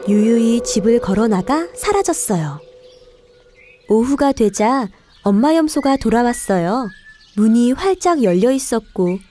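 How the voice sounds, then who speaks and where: A woman's voice: a little high, dark tone, slight background noise. A woman narrating a scripted children's fairy tale in a careful storytelling manner.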